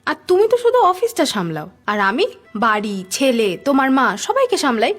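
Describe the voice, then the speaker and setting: A proud, nagging cartoon wife and mother of middle age, played by a woman. Her high voice moves dramatically up and down, with faint music playing behind. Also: huge pitch swings, a little fast, heavily acted. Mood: indignant, nagging. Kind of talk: Bengali cartoon voice acting.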